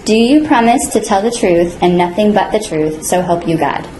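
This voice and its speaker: A woman's voice: mid-range voice, lively intonation, moderate pace, dark tone, a little hiss or hum. A woman playing an interviewing officer, asking friendly, polite questions. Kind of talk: scripted role-play. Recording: echoey room.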